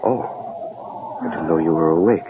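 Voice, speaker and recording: A man playing a protective, devoted husband in an old-time radio drama, gravelly and reassuring, halting when tender. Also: low voice, narrow, tinny audio, natural voice. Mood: subdued, tender. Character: protective, resourceful, devoted husband held captive. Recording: hissy, band-limited old radio drama recording.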